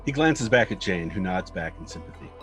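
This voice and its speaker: A man's voice: sits low, big pitch swings, brisk, faint music behind. A man reading screenplay stage directions as a scripted narrator.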